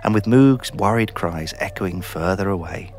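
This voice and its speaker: A man narrating a scripted children's story in an expressive storytelling manner. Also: sits low, normal speed, faint music behind.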